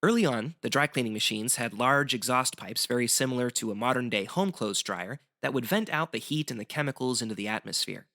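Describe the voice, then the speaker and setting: A man's voice: mid-range voice, fairly quick, hardly any pauses, bright, forceful tone. A man as a guest explaining history in semi-scripted educational banter, articulate and wry.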